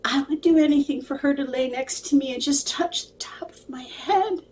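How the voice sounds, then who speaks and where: A woman's voice: sits high, moderate pace, darkish tone, distant mic, slight background noise, pitched above the performer's own voice, natural voice. A woman voicing a grieving widowed husband in a personal story, tearful and pleading, repeating himself. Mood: wistful, grieving. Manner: pleading, repetitive, breaking into whispers.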